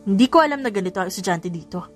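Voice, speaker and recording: A woman's voice: medium pitch, expressive, racing, soft background music, natural voice. A woman voicing a stern, haughty man of authority in an audiobook story, with a commanding manner.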